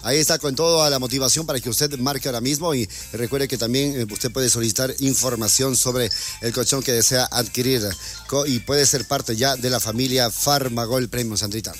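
A man's voice: mid-range voice, fairly even intonation, brisk, soft background music. A man hosting live radio, reading out sponsor promotions in a courteous, agreeable manner and often repeating his phrases. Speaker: radio host.

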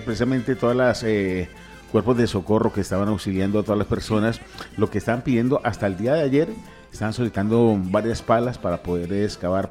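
A man speaks as a radio co-host in a fairly low voice. He comments in an earnest, measured, conversational manner, often restating his phrases. Soft music runs in the background. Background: music behind the voice.

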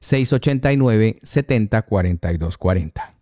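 A man hosting a live radio talk show, conversational, repeating details slowly and deliberately. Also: fairly low pitch, expressive, warm tone, no room sound, clean studio sound. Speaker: radio host. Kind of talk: live radio talk with read-out sponsor spots. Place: radio studio.

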